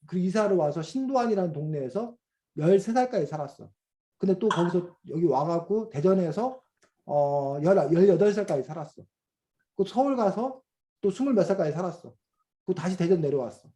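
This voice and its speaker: A middle-aged man teaching a children's reading class over a video call, explaining slowly with many fillers and questions to the students. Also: sits high, warm tone. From South Korea. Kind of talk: online class lecture to children.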